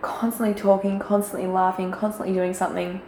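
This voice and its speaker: Croaky young woman chatting. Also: mid-range voice, flat delivery, fairly quick, a little mellow. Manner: chatty, giggly, lots of fillers. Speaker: podcast co-host. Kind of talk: casual chat. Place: quiet room, shared mic. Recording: echoey room, faint background noise.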